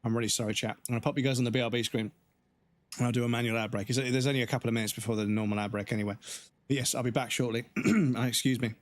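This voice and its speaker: A man in his thirties chatting casually to his live-stream chat while gaming. He is rambling and profane, and he is hoarse, with his voice giving out and frequent throat clearing. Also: medium pitch, fairly even intonation, very fast, no room sound. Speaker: gaming livestreamer.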